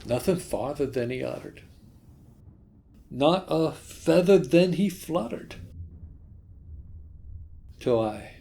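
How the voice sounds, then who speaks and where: A man giving a dramatic, theatrical recitation of a gothic poem, with halting delivery and long pauses. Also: a little high, expressive, vocal fry, dark tone, a little hiss or hum. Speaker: poetry reader.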